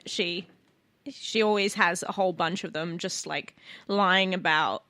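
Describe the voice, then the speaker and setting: A woman's voice: fairly low pitch, unhurried, bright, forceful tone, dry recording. A woman playing a tabletop game, casually and informally describing what her character does.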